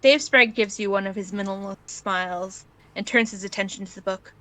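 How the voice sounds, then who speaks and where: A woman's voice: mid-range voice, fairly even intonation, a little fast, bright, forceful tone, rough recording. A woman narrating a piece of fan fiction in the second person, as an amateur reader.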